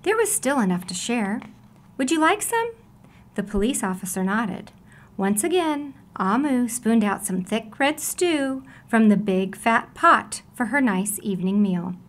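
Lady reading a kids book out loud, really swoopy expressive voice, takes it slow. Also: on the high side. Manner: animated, deliberate storytelling for young children. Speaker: storybook reader. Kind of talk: expressive children's read-aloud.

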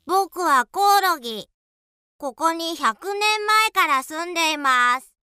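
A woman's voice: very high voice, animated, slow, bright, forceful tone, no room sound, heavily acted. A woman voicing a polite, lecturing talking cricket in a radio drama. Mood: calm. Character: preachy old talking cricket.

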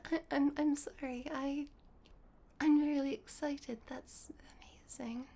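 A woman playing a sweet, shy young vampire girlfriend in an intimate ASMR roleplay, whispering softly and hesitantly. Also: sits high, flat delivery, slow pace, long pauses. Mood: excited, flustered. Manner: soft, breathy whispering, hesitant and giggly. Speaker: roleplay voice performer.

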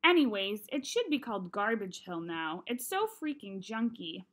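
A woman's voice: mid-range voice, sing-song, normal speed, fairly bright tone. A woman reading the part of a bored, scornful rich schoolboy from a children's novel, sarcastic and slangy. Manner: sarcastic, dismissive.

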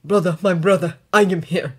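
A woman's voice: on the low side, steady tone, moderate pace, breathy edge. A woman voicing a giant male dragon in an audio story, proud and emotional, with grand formal speech. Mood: relieved.

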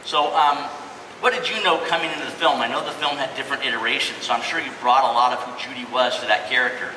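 A man's voice: mid-range voice, fairly even intonation, fast. A man hosting a casual interview on a convention stage, encouraging and enthusiastic as he puts questions to a guest. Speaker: panel host, interviewer. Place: large indoor convention stage. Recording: echoey noisy hall, crowd, distant PA.

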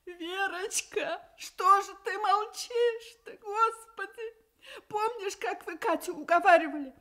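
A woman's voice: extremely high pitch, slow, fairly bright tone, heavily acted. An actress playing a desperate, humble old grandmother pleading in a radio drama. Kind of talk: Soviet radio play acting.

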